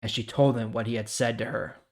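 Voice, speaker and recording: A man with a mid-range voice hosts a devotional Bible reading. He moves between storytelling and casual commentary, leaning on key words with dramatic pauses. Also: mid-range voice, flat delivery, gravelly, a little mellow. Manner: conversational, dramatic pauses and emphasis. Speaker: host of a Bible reading series.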